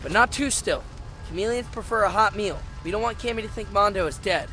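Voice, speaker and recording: High-voiced brainy teen turtle. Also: male voice, fairly quick, pushed and bright, soft background music. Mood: dry, sardonic. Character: dry, brainy, sardonic teenage male mutant turtle. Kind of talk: animated video game acting.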